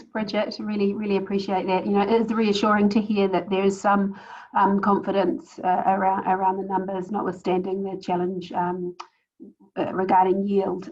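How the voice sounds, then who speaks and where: Halting woman host on muffled call audio. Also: mid-range voice, a little mellow. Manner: halting, many ums and uhs. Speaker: webinar host. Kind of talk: moderating a webinar. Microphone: video call. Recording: dull lo-fi video call audio.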